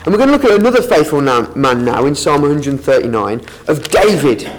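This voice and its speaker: A man preaches to a roomful of listeners, explaining scripture in an earnest, teaching way. His voice sits a little high and swings widely in pitch. He often restarts his sentences as he builds his points. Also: very animated, fairly quick, low-quality recording. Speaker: lay preacher. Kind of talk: Bible talk to a congregation. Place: meeting room with an audience.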